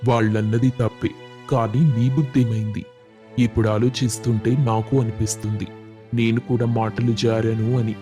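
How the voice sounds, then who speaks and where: A man voicing an older village family elder, measured and reproachful, in a moral story cartoon. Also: lowish voice, little pitch movement, warm tone, faint music behind, natural voice. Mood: reflective.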